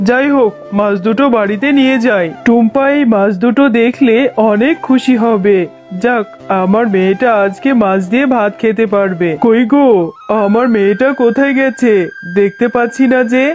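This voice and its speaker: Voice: mid-range voice, moderate pace, a little mellow, highs cut off, faint music behind, close to an everyday voice. An actor playing a hardworking fox father in a children's cartoon, affectionate and colloquial with the family. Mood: pleased, affectionate. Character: hardworking fox father, fond of his daughter. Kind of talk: Bengali children's cartoon dialogue.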